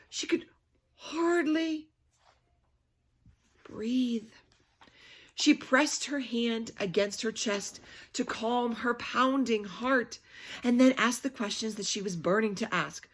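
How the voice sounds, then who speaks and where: A woman's voice: a little high, slow pace, long pauses, a bit bright. A woman reading a children's story aloud dramatically, with chatty asides and questions to her listeners.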